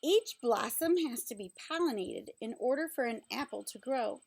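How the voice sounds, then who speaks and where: Woman, high voice, creaky edges, unhurried read-aloud for young children, simple teacherly explanations, faint background noise. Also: expressive, darkish tone. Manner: simple, instructional, pausing to explain and ask questions.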